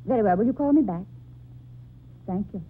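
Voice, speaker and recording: A woman's voice: mid-range voice, expressive, brisk, lightly acted. An actress playing an anxious, impatient young woman painter in an old-time radio drama, theatrical and urgent. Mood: brisk, formal. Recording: tinny, noisy old radio recording.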